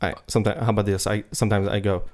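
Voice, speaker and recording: A young man teases his co-host in a dry way during a casual podcast. His low voice often fires off the same word several times, and the recording is made at his apartment. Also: level tone, very fast, a little mellow. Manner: dry teasing, repeats words in bursts. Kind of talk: casual chat.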